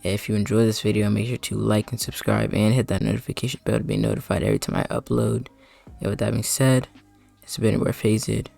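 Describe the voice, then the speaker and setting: Very gravelly low-voiced man. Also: lively intonation, brisk, a little mellow, soft background music. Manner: loose and unscripted, many fillers, trails off mid-sentence. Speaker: streamer giving a tutorial. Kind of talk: casual screen-recording walkthrough. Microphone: desktop mic at a computer.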